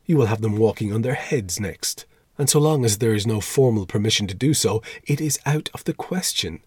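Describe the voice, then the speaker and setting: A man's voice: on the low side, expressive, a little fast, a little mellow, husky, dry recording, studio quality. A man reading a storybook as a fussy, timid, easily shocked older schoolmaster with a formal, pleading, moralising manner. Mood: horrified, pleading.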